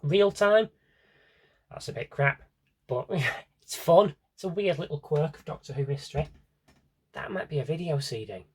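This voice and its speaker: Husky-voiced man chatting off the cuff. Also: a little high, moderate pace, pauses often. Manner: self-interrupting, wry, thinks aloud. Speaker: collector showing his audio drama CDs. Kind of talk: casual rambling vlog chat.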